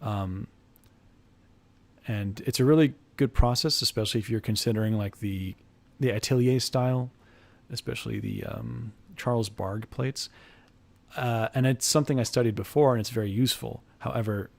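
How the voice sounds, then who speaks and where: A young man chatting casually with viewers on a drawing livestream, answering questions in a loose, halting way and restarting phrases as he sketches at his desk. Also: mid-range voice, gravelly. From Iraq, grew up in the United States. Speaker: art streamer. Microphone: headphones, desk mic.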